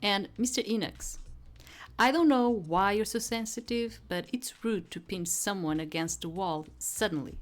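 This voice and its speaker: A woman voicing an indignant young female detective in a visual novel, earnest and emphatic, pleading yet firm. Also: close to an everyday voice. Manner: earnest, emphatic, raised pitch. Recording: soft music behind the voice.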